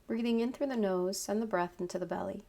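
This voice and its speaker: Woman guiding meditation, gravelly creak. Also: medium pitch. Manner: calm, unhurried, short instructive phrases with pauses. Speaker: yoga nidra meditation guide. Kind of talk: guided meditation, slow spoken instructions.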